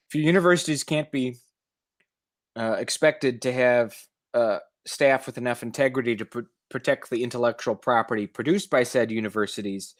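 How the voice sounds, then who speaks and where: A man from the United States co-hosting a conversational news commentary, speaking in a measured, halting way with formal wording. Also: mid-range voice. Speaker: co-host commentator.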